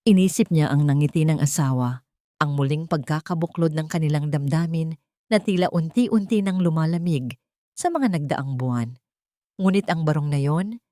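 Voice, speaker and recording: A woman reading a scripted dramatic love story as narrator, in a solemn, melodramatic manner with deliberate phrasing. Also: low-pitched, dark tone, dry recording, studio quality.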